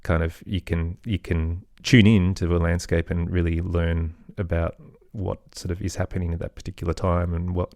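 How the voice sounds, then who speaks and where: Low-pitched, even-toned man in conversation. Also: moderate pace, hardly any pauses, dry recording, clean studio sound. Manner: reflective, loosely phrased, hedging with "sort of" and "kind of". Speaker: podcast guest, musician and storyteller. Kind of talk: conversational interview answer.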